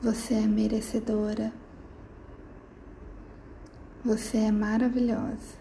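A woman guiding a sleep meditation in a slow, soft, soothing manner with gentle pauses, slipping into near-whispers. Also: a little high, level tone, creaky, a little hiss or hum. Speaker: meditation guide.

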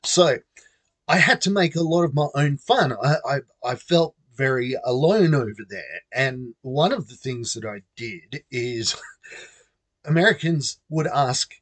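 A man's voice: medium pitch, animated, a little slow, a bit bright, dry recording. A middle-aged Australian man casually telling a personal story, chatty and self-amused. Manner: chatty, self-amused, acts out quoted voices.

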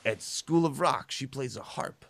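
A man's voice: medium pitch, lively intonation, unhurried, gravelly, fairly bright tone, slight background noise. Joking man co-hosting a music podcast. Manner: rambling, jokey, plays with accents. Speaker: podcast co-host and music teacher. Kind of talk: casual chat.

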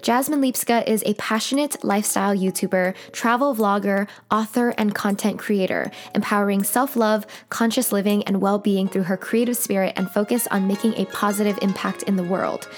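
Female podcast host, mid-pitched voice, engaged interviewer, faint music underneath. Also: fairly even intonation, moderate pace, few pauses. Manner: warm and interested, lots of encouraging responses. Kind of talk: scripted intro, then interview chat.

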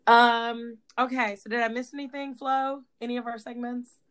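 A young woman co-hosts a casual podcast, upbeat and encouraging. Her voice sits a bit high. She gives advice in a friendly way. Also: little pitch movement, moderate pace, a bit bright, smooth. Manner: upbeat, encouraging, says "solid". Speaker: podcast co-host.

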